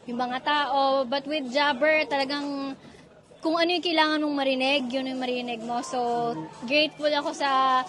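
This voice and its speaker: A woman's voice: sits high, fairly even intonation, normal speed, a bit bright, faint music behind. A woman with a Filipino accent giving a casual testimonial as a competitor, mixing in everyday conversational phrases. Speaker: drift competitor. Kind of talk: casual product testimonial, Taglish.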